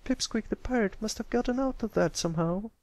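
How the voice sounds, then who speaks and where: A man's voice: sits high, brisk, a little mellow, dry recording, voice pushed up. A man voicing a young colt pony in a storybook reading, wide-eyed, eager and a bit nervous. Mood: anxious, excited.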